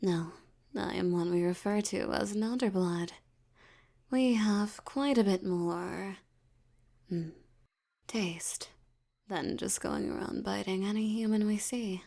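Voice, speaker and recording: A woman voicing a dominant, aristocratic vampire elder in an intimate audio roleplay, teasing and menacing, with a breathy voice that often drops toward a whisper. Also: mid-range voice, dark tone. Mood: haughty, predatory. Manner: slow, teasing, menacing, with lingering pauses.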